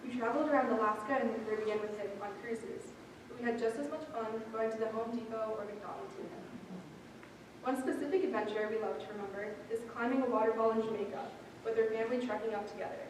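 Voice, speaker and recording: A woman's voice: fairly high pitch, steady tone, quick pace. A young woman reading a prepared eulogy as a granddaughter at a memorial service. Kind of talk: prepared memorial speech, read aloud. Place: large reverberant hall at a memorial service. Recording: echoey hall, distant mic, noisy.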